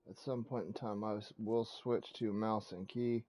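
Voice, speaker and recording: A man with a fairly low, very mellow voice streams a video game. His commentary is casual and conversational, with a dark, relaxed tone. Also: moderate pace.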